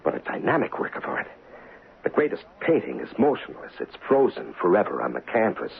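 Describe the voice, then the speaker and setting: An actor playing a pompous, excitable middle-aged husband in an old-time radio drama, gravelly and husky, blustering and repeating himself emphatically. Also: male voice, fairly low pitch, a little fast, exaggerated voice. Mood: fervent, proud. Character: pompous indignant gun-loving husband, fussy and excitable. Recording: muffled, phone-like old radio recording.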